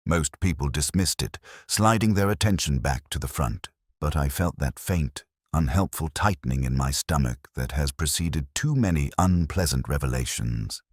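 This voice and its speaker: Very deep-voiced man narrating a brooding mystery. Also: slightly rough, dry recording, studio quality. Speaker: first-person narrator of a detective story. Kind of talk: scripted literary mystery narration.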